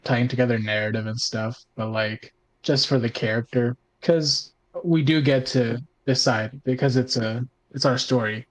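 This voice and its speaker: Guy chatting casually, middle pitch, bit tentative, keeps checking in. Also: rough recording. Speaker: podcast regular, tabletop role-playing player. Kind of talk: casual roundtable chat.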